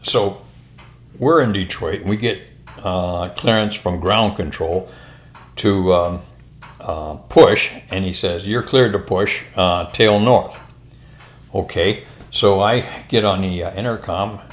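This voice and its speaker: Older american guy, ex pilot, really low voice, rambling through stories casually, roomy echo. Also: a little slow. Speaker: retired military and airline pilot telling stories. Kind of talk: casual anecdotal storytelling. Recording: roomy, echoing room sound.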